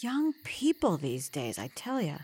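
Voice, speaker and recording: An actress playing a wry, kindly older small-town mother in an audio drama, talking in a folksy, gently teasing way. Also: deep voice, huge pitch swings, measured pace, slightly rough, exaggerated voice. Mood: wry, consoling. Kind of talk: naturalistic audio drama acting.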